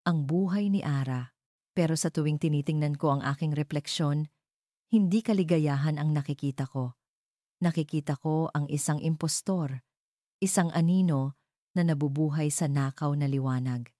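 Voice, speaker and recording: A woman's voice: low voice, moderate pace, dark tone, no room sound, clean studio sound. A woman reading a scripted, dramatic first-person romance story as its narrator.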